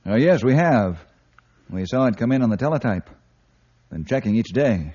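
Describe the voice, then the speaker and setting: A man playing a courteous, steady, businesslike ranger hero in an old-time radio drama. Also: medium pitch, normal speed, lightly acted. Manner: polite, businesslike. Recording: muffled, noisy old radio recording.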